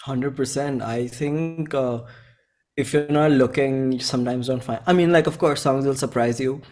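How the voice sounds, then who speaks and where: A man's voice: mid-range voice, darkish tone. A man, a musician talking informally and thinking aloud in an interview, often saying "like". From India. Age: 20s. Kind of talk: casual interview chat.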